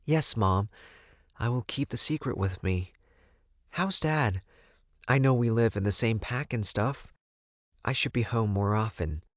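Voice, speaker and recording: Earnest werewolf servant and son acted by a male narrator, anxious and pleading, lifted pitch, dry audiobook sound. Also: unhurried, pauses often, dry recording, lo-fi sound, natural voice. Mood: dutiful. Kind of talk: audiobook character dialogue.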